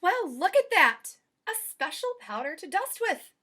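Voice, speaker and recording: Woman playing a high, swooping, cheerful storybook housemaid. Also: sing-song, pushed and bright, heavily acted. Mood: delighted. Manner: exclamatory, folksy, drawn-out emphasis. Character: cheerful, eager, literal-minded housemaid woman.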